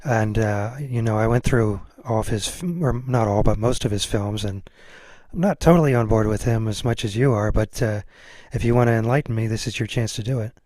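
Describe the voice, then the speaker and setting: Male podcast interviewer, fairly low pitch, dry recording, casual and slightly halting. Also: darkish tone, dry recording. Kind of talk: casual chat.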